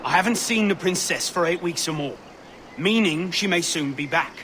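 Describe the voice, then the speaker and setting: A local informant played by a man in a pirate video game. He talks plainly and colloquially in a fairly high voice. Outdoor ambience is clearly heard around him. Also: normal speed, background noise, close to an everyday voice. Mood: informative. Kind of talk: scripted video game dialogue.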